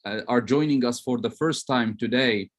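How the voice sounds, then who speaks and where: Guy from lebanon giving a semi-formal online lecture, average pitched voice, professor type. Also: moderate pace.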